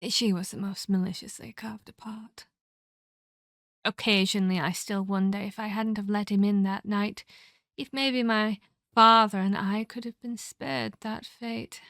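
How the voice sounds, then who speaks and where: A woman voicing the centuries-old ghost of a murdered girl in a horror ASMR roleplay, eerie, menacing and wistful, speaking deliberately with breathy, whispery delivery. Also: medium pitch, steady tone, a bit bright, no room sound, studio quality. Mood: grim, haunted. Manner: slow, deliberate, theatrical storytelling.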